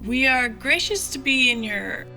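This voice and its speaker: A woman's voice: high voice, expressive, measured pace, sharp tone, full character voice. A woman playing a bold, bossy crew leader in a fantasy audio drama, given to mock-formal courtesy. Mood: ceremonious. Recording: prominent music behind. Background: music.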